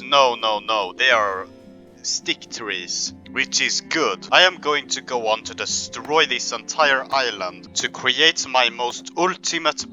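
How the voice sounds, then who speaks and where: A man narrates his survival game in a put-on accent, slow and unhurried, with a bright, piercing edge to his voice. He plays it mock-serious and self-important. Faint music runs underneath. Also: medium pitch. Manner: pompous, rambling rants in a put-on accent. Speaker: gameplay commentator. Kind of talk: comedic survival game commentary.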